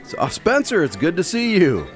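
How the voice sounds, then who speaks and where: Folksy, animated, blunt everyman. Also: sits high, moderate pace, soft background music. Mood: blunt, suspicious. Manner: folksy, tactless. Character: chatty, blunt, suspicious man telling his adventure. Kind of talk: radio drama narration and acting.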